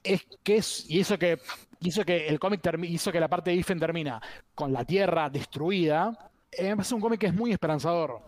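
A man's voice: a little high, brisk, dry recording. A man gives his take on a podcast panel. He is opinionated and builds long, wandering arguments in an informal register. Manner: opinionated, digressive. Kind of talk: casual critical commentary.

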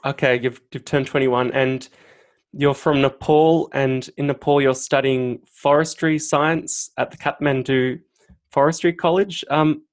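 A man's voice: mid-range voice, fairly even intonation, measured pace. A man hosting a podcast interview, introducing his guest enthusiastically and often restarting his phrases. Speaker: podcast host.

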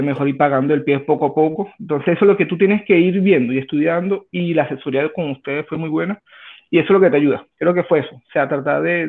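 A Venezuelan man in his thirties, a guest in an interview, answering in a halting way with many restarts. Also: fairly high pitch, gravelly. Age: 30s. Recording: tinny phone line.

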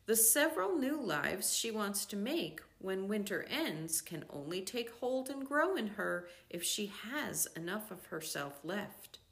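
An older woman reading a book aloud as scripted narration, in measured, deliberate phrases with pauses. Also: mid-range voice, big pitch swings, vocal fry, a bit bright. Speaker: reader of a book.

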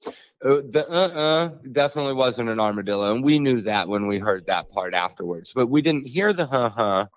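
A man, telling a frightening encounter as a podcast guest, halting with many fillers. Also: expressive, no room sound. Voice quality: deep bassy voice. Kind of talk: storytelling an encounter. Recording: dull lo-fi dry sound.

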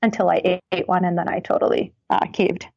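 A woman guest in a casual interview, chatting in a relaxed way with frequent ums, over a muffled remote line. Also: lowish voice, a little fast, vocal fry, no echo.

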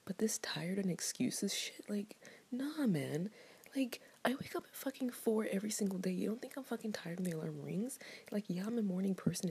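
A woman rants to her viewers in a hushed, breathy whisper. Her voice sits low and has a gravelly, raspy edge. She talks bluntly and profanely, and she sounds fired up. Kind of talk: casual rant to camera.

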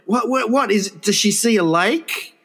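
A man's voice: a little slow, fairly bright tone, breathy edge. A man co-hosting a comedy podcast, telling rambling stories with frequent laughs and restarts. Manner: rambling, giggly, puts on high voices for quotes. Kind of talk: improvised comic banter.

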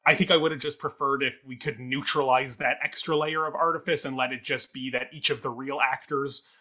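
A man's voice: mid-range voice, fairly even intonation, fairly quick, bright, forceful tone. A man co-hosting a casual theatre review talk, thinking aloud in an analytical, hedging way.

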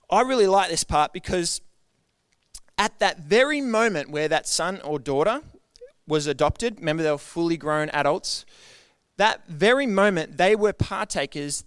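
A man's voice: sits high, smooth, no room sound. A man preaching a sermon to his church in an earnest, conversational way, often asking the congregation questions. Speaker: pastor.

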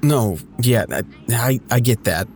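A man's voice: mid-range voice, expressive, unhurried, darkish tone, faint music behind, close to an everyday voice. An actor playing an awkward, scheming man in an audio drama, talking to an old friend in a hesitant, stammering, over-eager way. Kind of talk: naturalistic audio drama dialogue.

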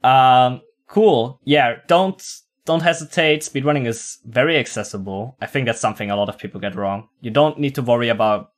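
A man's voice: medium pitch, moderate pace, hardly any pauses. A man hosting a casual podcast interview, chatty, leaning on "like" and laughing often. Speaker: podcast host.

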